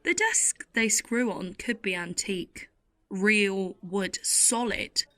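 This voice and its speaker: A woman playing a middle-aged, world-weary waitress in an audio play, telling her story in an intimate, sardonic, deliberate way. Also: medium pitch, bright, forceful tone. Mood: wry, deadpan. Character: world-weary, wry older waitress in a late-night cafe. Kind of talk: audio play monologue with acted dialogue.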